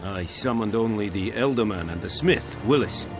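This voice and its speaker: A man plays a stern foreign commander in a video game, formal and measured as he gives orders. His voice is fairly low. Clear music plays behind him. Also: animated, lightly acted.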